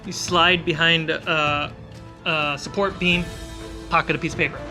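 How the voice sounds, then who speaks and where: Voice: mid-range voice, flat delivery, measured pace, over music. A game master narrating an improvised tabletop fantasy game and calling for rolls.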